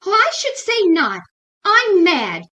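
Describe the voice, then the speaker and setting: High, animated radio sitcom housewife played by a woman. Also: slow, exaggerated voice. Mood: indignant. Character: Liz Cooper, excitable, indignant housewife of 1950s comedy. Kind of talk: old-time radio sitcom acting.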